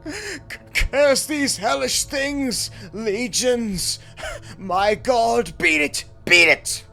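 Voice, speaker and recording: A terrified man , as a male storyteller acts him out, cries out in a horror tale. His voice is strained and trembling, forced far up in pitch. He pleads urgently like someone who knows he is doomed, with music faintly behind. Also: expressive, extremely slow, vocal fry, pushed and bright, full character voice. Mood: screaming terror. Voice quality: strained, shaky, pushed high. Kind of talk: dramatic horror story reading.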